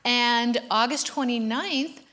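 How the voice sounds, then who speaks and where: A woman's voice: a little high, slow, over a noisy crowd, very noisy. A woman giving casual church announcements at a service, with loose asides and laughs.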